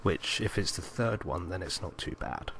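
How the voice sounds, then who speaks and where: A man with a lowish, very creaky voice chats casually as a podcast co-host. It is an amateur recording with slight background noise. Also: lively intonation, moderate pace, fairly bright tone. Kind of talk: casual chat about films.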